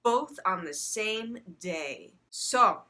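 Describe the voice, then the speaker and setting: A woman's voice: medium pitch, expressive, extremely slow, pushed and bright. A woman reading fan fiction aloud for a comedy show, mixing dramatic storytelling with casual asides.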